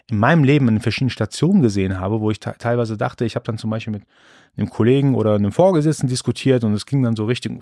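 A man's voice: mid-range voice, gravelly, darkish tone, no echo, clean studio sound. A man born in Iran, hosting a solo podcast in a casual, rambling monologue with frequent restarts. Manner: chatty, rambling with restarts and fillers. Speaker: podcast host.

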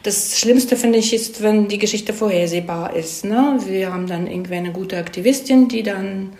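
A woman's voice: medium pitch, moderate pace, warm tone. A woman screenwriter being interviewed, explaining casually and thoughtfully, often checking in with short tag questions. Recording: echoey room.

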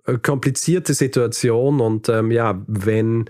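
A man's voice: mid-range voice, slow pace, darkish tone, no room sound. A man hosting a podcast, telling history in a conversational, explanatory way with light dry humour. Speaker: podcast host.

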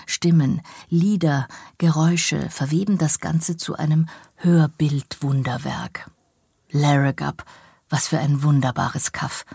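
A woman narrating a scripted cultural radio feature with an expressive, precise reading style. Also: deep voice, creaky, breathy edge, no room sound, clean studio sound. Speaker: radio reviewer.

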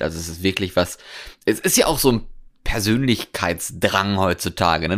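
A man's voice: sits low, no room sound, clean studio sound. A man chatting casually as a podcast co-host, musing in a colloquial way. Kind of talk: casual chat.

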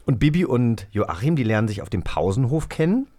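A man's voice: mid-range voice, sing-song, a little fast, mellow. A man co-hosting a podcast, telling stories in a casual, chatty and playful way. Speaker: podcast host.

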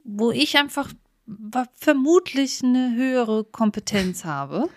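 High-voiced woman chatting candidly. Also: expressive, slow pace, darkish tone, no echo, clean studio sound. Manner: candid, self-effacing. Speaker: podcast co-host. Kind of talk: casual chat.

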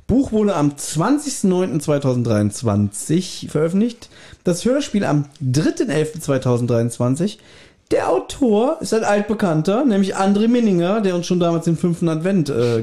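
Guy podcast host, kinda high but soft mellow voice, rambly casual chat. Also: sing-song, normal speed.

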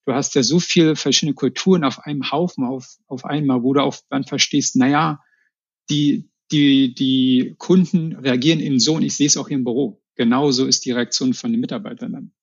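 A man's voice: mid-range voice, fairly even intonation, brisk, husky, dry recording, muffled recording. A man from the Berlin area answering interview questions in a casual, informal way, often repeating words. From Berlin area, Germany. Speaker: interview guest, customer experience manager.

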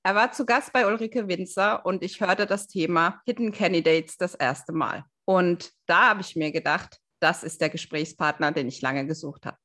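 A woman's voice: lowish voice, normal speed, hardly any pauses, fairly bright tone. A woman hosting a podcast interview in a conversational way. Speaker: podcast host.

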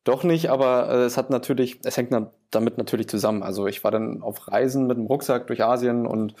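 Relaxed, rambling German man. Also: mid-range voice, brisk. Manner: relaxed, rambling, frequent fillers. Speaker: podcast guest, hotel owner. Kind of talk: casual interview chat.

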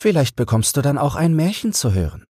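Man putting on a husky, raised-pitch mild mother. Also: sing-song, a little fast, a little mellow, no echo, studio quality. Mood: gentle, caring. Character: mild, caring mother of a small boy.

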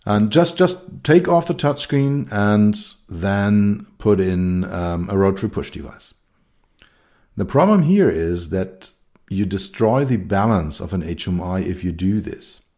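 A middle-aged man with a German accent talks through his ideas on his own. His voice sits very low and sounds mellow. He pauses, repeats words and fills gaps with ums. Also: expressive. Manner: thoughtful, with many fillers and restarts. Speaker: consultant and keynote speaker. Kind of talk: solo talk on design.

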